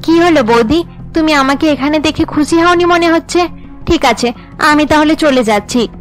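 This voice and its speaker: Woman voicing a high, caring young sister-in-law. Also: normal speed, soft background music, natural voice. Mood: hurt.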